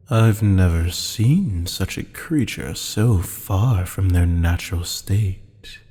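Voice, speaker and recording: Guy doing a dark husky demon for asmr roleplay, haughty and taunting, big echoey hall reverb. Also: full character voice. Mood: mocking, fascinated. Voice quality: low, gravelly, husky. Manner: slow, taunting, mock-polite. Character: teasing, haughty, predatory male demon buyer. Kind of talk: ASMR roleplay audio drama.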